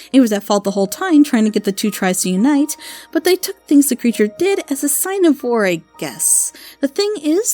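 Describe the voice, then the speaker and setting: A woman's voice: high voice, warm tone. A woman giving critical review commentary in a blunt, opinionated way. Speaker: audio drama reviewer.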